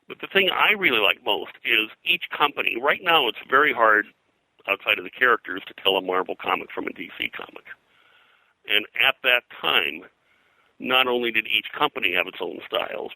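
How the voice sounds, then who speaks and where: Older man, deep creaky voice. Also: lively intonation, sharp tone, no room sound, rough recording. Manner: halting, digressive, frequent "you know". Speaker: guest, pop culture historian. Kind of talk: rambling interview reminiscence.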